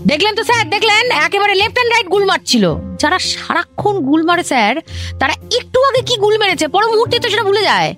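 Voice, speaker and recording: An actress playing a suspicious, self-righteous junior government officer, insistent and accusing, in a radio comedy. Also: female voice, very high voice, very animated, quick pace, a bit bright, soft background music, full character voice. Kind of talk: Bengali radio comedy drama acting.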